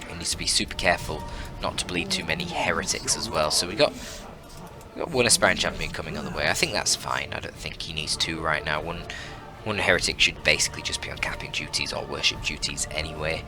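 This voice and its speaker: A man commentating on a strategy game match as a shoutcaster, explaining tactics analytically as he goes. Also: very deep voice, fairly even intonation, quick pace, over music. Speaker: esports shoutcaster.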